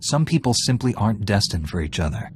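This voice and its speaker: An actor playing a composed, dryly witty heroic warrior knight in a video game. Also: male voice, lowish voice, sing-song, darkish tone, a little hiss or hum. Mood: dry, wry. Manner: measured, dry, wry.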